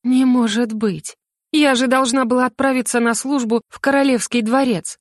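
A woman's voice: on the high side, steady tone, moderate pace, no echo, clean studio sound, voice pushed up, lightly acted. A woman voicing a proud, easily flustered young female mage in an audiobook, speaking with emphatic indignation. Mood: shocked, flustered. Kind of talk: audiobook character acting.

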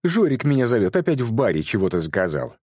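A man's voice: medium pitch, wildly swooping pitch, moderate pace, mellow, husky, no room sound, clean studio sound, voice pushed up. A man voicing a boastful, chatty young woman who brags in a breezy, raised voice.

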